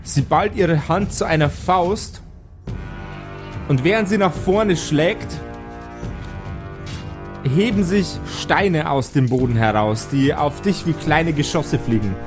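Slow-paced man as game master. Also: fairly high pitch, lively intonation. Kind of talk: improvised narration of a tabletop role-playing game. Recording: background music under the voice.

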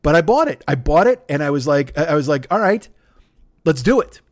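A man hosting a podcast, telling a long comic story in a rambling, animated way, cursing constantly and acting out the exchanges he quotes. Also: a little high, normal speed, no room sound, studio quality. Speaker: podcast host. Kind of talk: profane comedic storytelling.

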